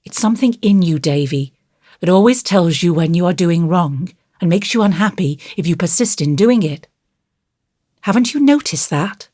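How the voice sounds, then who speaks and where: A woman voicing a gentle, grave young woman who patiently comforts and admonishes a child in an audiobook. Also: lowish voice, animated, normal speed, no room sound, clean studio sound. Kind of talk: audiobook character voice.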